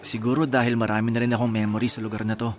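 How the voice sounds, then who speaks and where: An actor with a Filipino accent playing an easygoing, sentimental young man in a radio drama, relaxed and reflective. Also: medium pitch, very fast, soft background music, lightly acted. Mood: relaxed, curious. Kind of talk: Tagalog radio drama acting.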